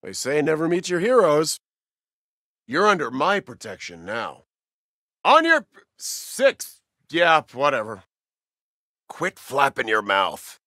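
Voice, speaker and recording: An actor playing an arrogant, menacing caped superhero in a video game, smug and domineering. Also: male voice, a little high, huge pitch swings, slow pace, long pauses, pushed and bright, no room sound, studio quality, exaggerated voice. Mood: smug menace.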